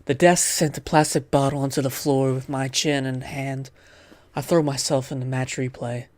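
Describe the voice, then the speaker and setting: A man hosts a casual gaming playthrough with a mid-pitched voice. He switches between reading on-screen text aloud and chatting to viewers, and he stumbles over words as he reads. The sound is dry, with little room in it. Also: flat delivery, normal speed, a little mellow, dry recording. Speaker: gaming video host. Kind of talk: casual let's-play commentary, reading game text aloud.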